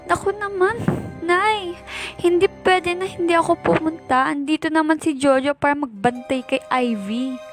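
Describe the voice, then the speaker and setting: A woman's voice: high voice, normal speed, close to an everyday voice. A woman acting a rebellious, resentful teenage daughter in an amateur Filipino radio drama. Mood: pleading, defiant. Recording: music bed behind dialogue.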